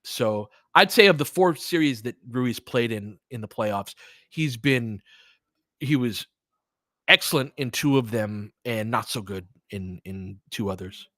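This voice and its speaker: A man's voice: mid-range voice, animated, a little slow, sharp tone, no echo, clean studio sound. A man doing live audio play-by-play of basketball, mixing conversational analysis with replies to listeners as he thinks aloud. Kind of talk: live basketball commentary mixed with casual analysis and chat replies.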